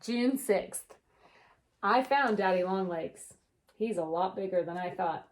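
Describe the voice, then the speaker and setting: Slow-paced woman reading a children's storybook. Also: mid-range voice, a little hiss or hum, poor audio. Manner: animated, drawn-out storybook delivery. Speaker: teacher reading a picture book aloud for pupils. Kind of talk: expressive children's read-aloud with chatty asides.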